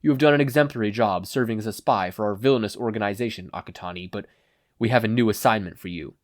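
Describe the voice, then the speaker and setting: Narrator doing polite misty villain guy, formal n measured, composed, audiobook style. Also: medium pitch, no echo, clean studio sound, natural voice. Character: finely dressed villain man of purple mist, formal, composed.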